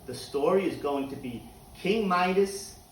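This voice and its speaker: A man speaking as a teacher to young pupils, in deliberate, clearly spaced phrases for children. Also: a little high, expressive, roomy sound, far from the mic, a little hiss or hum, rough recording. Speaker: teacher reading aloud to students. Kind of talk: children's read-aloud and announcements to pupils.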